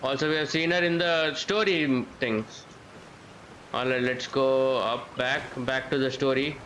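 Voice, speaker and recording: Slow, halting male game streamer. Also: medium pitch, steady tone, a bit bright, slight background noise, rough recording. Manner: halting, searching for words. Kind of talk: casual live gameplay commentary.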